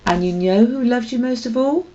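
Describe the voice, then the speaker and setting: A woman's voice: fairly high pitch, normal speed, warm tone, lo-fi sound, voice pushed up, natural voice. A woman voicing a tough, teasing female street cat in a children's storybook, with a blunt, mocking delivery. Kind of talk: children's storybook read-aloud.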